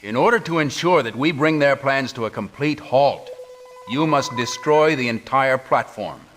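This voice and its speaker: A man's voice: mid-range voice, moderate pace, fairly bright tone, soft background music, lightly acted. A man acting a grave middle-aged military commander. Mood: firm. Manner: formal, urgent military briefing. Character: grave middle-aged male resistance commander in a sci-fi war. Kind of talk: scripted game cutscene acting.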